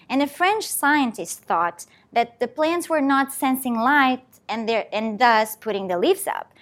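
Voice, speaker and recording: A woman's voice: a little high, fairly bright tone. A woman scientist from Portugal, explaining her research step by step in a presentation talk. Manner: explanatory, walking through an experiment step by step.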